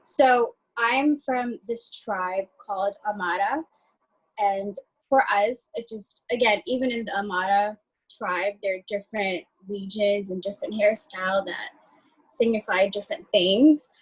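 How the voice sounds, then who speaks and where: A woman's voice: medium pitch, a little slow, breathy edge, low-quality recording. A young woman from Ethiopia, chatting as a guest over a video call in a friendly, loose way with many fillers. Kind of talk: casual video call chat.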